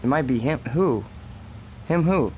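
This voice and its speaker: An actor playing a gentle, puzzled young man in a radio drama, speaking earnestly with a hesitant stammer. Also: medium pitch, animated, measured pace, noisy background, close to an everyday voice. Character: gentle, puzzled man coaxing a frightened woman.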